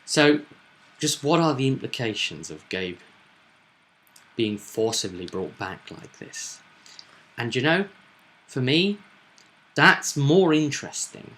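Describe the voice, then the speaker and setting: A man's voice: mid-range voice, very animated, slow pace, pauses often, a bit bright. A man running a tabletop roleplaying game, explaining things in a reflective, conversational way. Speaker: game master.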